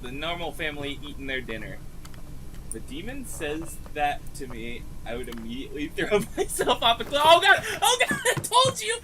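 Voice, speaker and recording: A streamer giving casual live reaction commentary in an excitable, sweary, joking manner. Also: medium pitch, moderate pace, low-quality recording.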